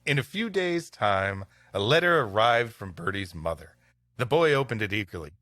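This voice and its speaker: A man co-hosting a podcast, reading stories aloud dramatically and joking playfully with a deadpan streak. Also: on the low side, normal speed, dry recording. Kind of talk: dramatic story reading with comic banter.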